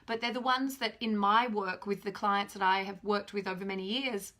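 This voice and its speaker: A woman in her thirties with a slightly croaky voice, chatting animatedly on a live stream from home to her phone camera. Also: medium pitch, moderate pace. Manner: animated, gestures a lot while talking; chatty and self-deprecating. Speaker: spiritual teacher hosting a live stream. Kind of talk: casual live-stream talk. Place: home room indoors. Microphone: phone camera at arm's length.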